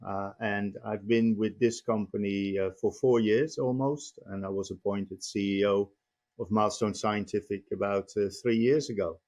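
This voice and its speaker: A Dutch-accented man in his fifties, speaking in a fairly low voice. He answers interview questions at a careful, measured pace and fills his pauses with frequent "uh"s. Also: fairly even intonation, a little mellow. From Netherlands. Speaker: company CEO guest. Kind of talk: podcast interview.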